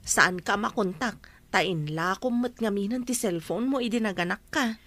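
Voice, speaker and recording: An actress playing a sympathetic, helpful neighbour in a radio drama, with an Ilocano Filipino accent. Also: female voice, mid-range voice, normal speed, a bit bright, lightly acted. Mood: concerned.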